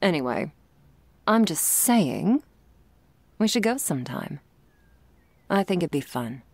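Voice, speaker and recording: A woman playing an affectionate, wry girlfriend in an intimate ASMR roleplay, talking softly and teasingly to her sleepy partner. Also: fairly low pitch, very animated, slow pace, no echo, heavily acted. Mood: playful, animated. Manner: soft, teasing, drifting between whispers and playful chatter.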